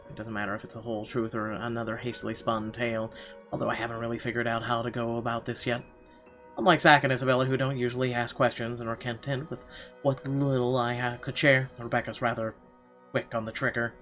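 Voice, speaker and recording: A man's voice: medium pitch, steady tone, fast, fairly bright tone. Wry man reading a visual novel aloud. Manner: wry, sardonic asides. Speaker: let's player. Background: game music.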